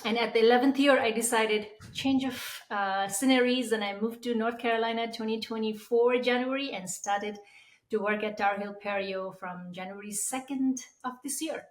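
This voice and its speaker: A woman's voice: a little high, fairly even intonation, normal speed. A woman from Nepal with a Nepali accent, explaining as an expert guest in an interview. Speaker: periodontist guest. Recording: roomy, echoey sound.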